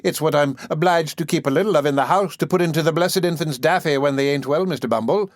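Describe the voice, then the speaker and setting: A man's voice: a little high, fast talker, no echo, voice pushed up, natural voice. A man putting on a gushing, fawning old-fashioned nursemaid. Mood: wheedling. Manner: gushing, wheedling, overly humble. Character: fawning, scheming middle-aged woman running a workhouse nursery.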